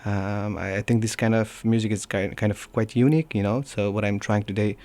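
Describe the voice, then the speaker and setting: A man from Nepal with a Nepali accent, a musician talking casually in an interview, often pausing to search for words. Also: fairly low pitch, fairly even intonation, a little mellow. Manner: halting, searching for words, frequent "you know". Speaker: musician being interviewed, guitarist. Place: radio studio.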